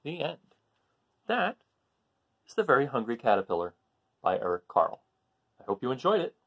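Animated middle-aged man reading a picture book. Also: mid-range voice, slightly rough, muffled recording. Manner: deliberate short phrasing, expressive emphasis on key words. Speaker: host reading picture books aloud. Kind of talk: children's storybook read-aloud. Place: small room at home, indoors.

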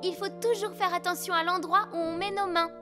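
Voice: very high-pitched, fairly even intonation, brisk. An actor voicing a cheerful, confident young girl in a French cartoon dub, lilting and self-assured. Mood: reassuring. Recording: loud music under the voice.

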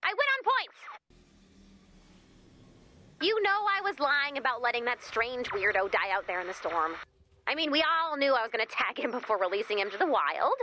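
A woman's voice: a little high, big pitch swings, normal speed, long pauses, sharp tone, slight background noise. A woman playing a wisecracking, eager, sarcastic female robot helper in a video game, quippy and playful with rambling asides. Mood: wry, bemused. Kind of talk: scripted video game character dialogue.

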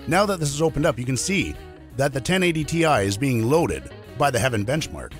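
A man in his thirties with a cold, hoarse voice, chatting through a tech tutorial step by step in a small home studio. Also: medium pitch, very animated, brisk, soft background music. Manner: chatty, explains step by step with fillers. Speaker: streaming tech YouTuber. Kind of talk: casual tech tutorial to camera.

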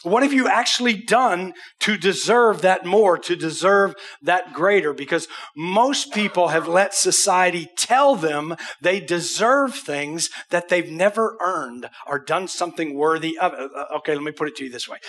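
A man's voice: sits high, lively intonation, normal speed, few pauses, a bit bright. An American man in his sixties preaching a conversational, emphatic sermon as a pastor, with rhetorical questions. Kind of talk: conversational sermon with acted examples. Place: church auditorium stage.

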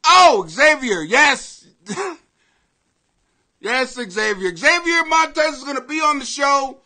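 A middle-aged American man hosting a comedy podcast in a brash, profane, excitable rant that often rises to shouting. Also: very high voice, slow. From Greenwich, Connecticut, United States. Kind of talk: ranting comedy podcast monologue.

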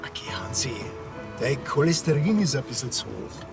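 A man's voice: medium pitch, animated, normal speed, creaky, over music. An actor playing a dry, unbothered provincial policeman with a Bavarian accent in a TV comedy. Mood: dismissive. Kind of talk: TV crime comedy acting.

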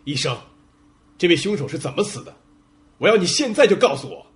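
Brusque, husky police detective. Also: male voice, a little high, sing-song, brisk, heavily acted. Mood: pressing. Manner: urgent, questioning, terse. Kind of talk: Mandarin radio drama acting.

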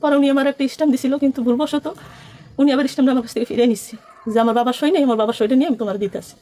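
A young woman speaks in a high voice at a rapid clip. She tells her own story in a rambling, pleading way, often quoting conversations. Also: fairly even intonation, mellow. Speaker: complainant being interviewed. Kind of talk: spontaneous personal account.

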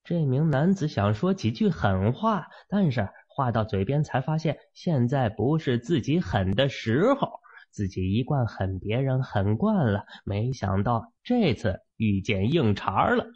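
A man's voice: mid-range voice, very animated, a little slow, a little mellow, slightly rough, dull audio. A man narrating fiction in a dramatic, scripted audiobook style.

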